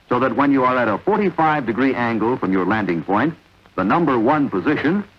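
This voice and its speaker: Husky male training-film narrator, lo-fi. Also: mid-range voice. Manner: measured, authoritative, didactic. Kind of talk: scripted instructional narration, vintage military training film. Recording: dull, lo-fi vintage film soundtrack.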